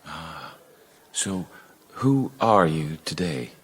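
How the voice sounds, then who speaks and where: An actor playing a driven, awkward Victorian doctor with a halting stammer in a radio drama. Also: male voice, extremely low pitch, sing-song, slightly rough, heavily acted. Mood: gentle, probing.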